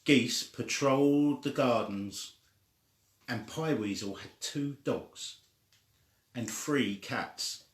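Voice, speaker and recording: An older man reading a children's story aloud informally, with a halting, deliberate delivery. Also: medium pitch. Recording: echoey room.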